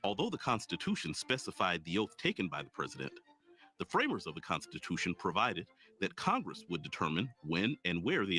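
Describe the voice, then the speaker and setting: A man reading a scripted informational narration in a measured, formal manner. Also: deep voice, bright, forceful tone.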